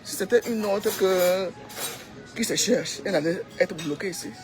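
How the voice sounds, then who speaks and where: A Cameroonian woman speaks informally to her followers with strong opinions. She urges and exhorts, hammering phrases by repeating them. Music plays clearly behind her voice throughout. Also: mid-range voice, normal speed. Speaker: social media commentator.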